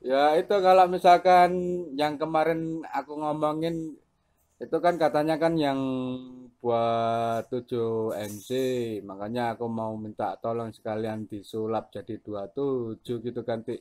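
A man's voice: mid-range voice, fairly even intonation, unhurried, darkish tone. A man, chatting casually as a CB radio operator. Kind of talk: casual two-way radio chat.

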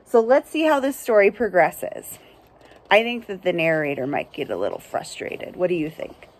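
A woman's voice: fairly low pitch, sing-song, moderate pace. A young woman reading a picture book to children as a librarian, explaining simply and checking in with her listeners. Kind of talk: children's read-aloud with asides. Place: outdoors under trees by a house.

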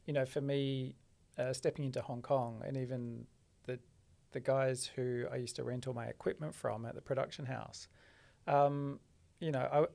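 A relaxed man speaks on a podcast in a very gravelly, fry-heavy voice. His pitch is mid-range for a man, and he talks reflectively. He speaks into a close studio mic. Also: moderate pace. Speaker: podcast guest, photographer. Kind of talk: casual interview. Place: small dark podcast studio. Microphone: studio mic on arm, headphones.

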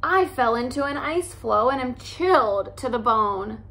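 A woman's voice: on the high side, expressive, unhurried, a bit bright. A woman doing a growling, chilled shaggy beast. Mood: pleading. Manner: growling plea. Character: shaggy, ice-covered growling creature.

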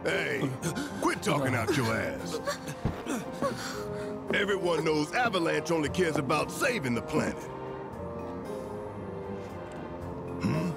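An actor playing a gruff, defiant male rebel leader in a video game, with a gravelly voice and a confrontational, mocking manner. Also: on the high side, sing-song, measured pace, pauses often, exaggerated voice. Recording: game audio with loud music behind.

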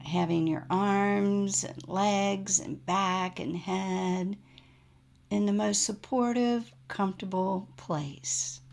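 A woman guiding a relaxation meditation as a yoga nidra teacher, in a gentle, soothing manner with unhurried pauses. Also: mid-range voice, fairly even intonation, vocal fry, soft background music.

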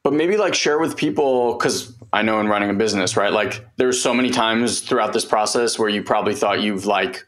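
A man with a fairly low voice co-hosting a podcast. He frames his questions to the guest slowly, searching for his words as he goes. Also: a bit bright. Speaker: podcast co-host. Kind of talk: casual interview questions.

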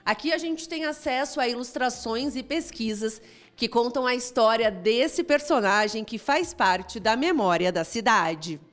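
A woman's voice: a little high, expressive, unhurried, no room sound. A woman reading scripted TV news narration as a reporter. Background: background music.

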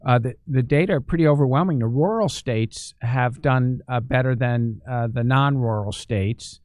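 A man's voice: medium pitch, moderate pace, darkish tone, no echo. A middle-aged man hosting an interview, asking earnest conversational questions into a studio microphone. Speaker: host. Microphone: studio microphone on stand.

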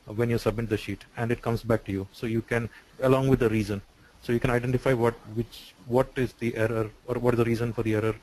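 A man on a video call who explains software features step by step, with frequent "uh" and "so". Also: mid-range voice, low-quality recording. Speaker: software developer answering questions.

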